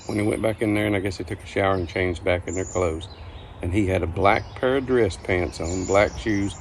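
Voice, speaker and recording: A low, gravelly voice from an older man spinning casual recollections. He is folksy and unhurried, pausing and restarting as he goes. Birdsong and faint outdoor ambience sit behind him. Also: a little hiss or hum. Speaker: storyteller. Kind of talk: casual reminiscing storytelling.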